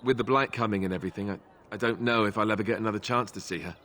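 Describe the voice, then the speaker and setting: An actor playing an earnest young warden in a video game, speaking in a halting, hesitant way. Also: male voice, lowish voice, a bit bright, natural voice. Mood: hesitant, wistful. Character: earnest young male warden haunted by family ties. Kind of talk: video game dialogue acting.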